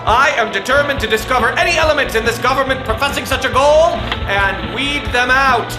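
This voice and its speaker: A performer plays a resolute president making a formal address. The delivery is earnest and oratorical. The voice echoes, with music clearly behind it. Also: sits high, a little fast, lightly acted. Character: earnest, determined male president giving a formal speech. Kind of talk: acted political speech.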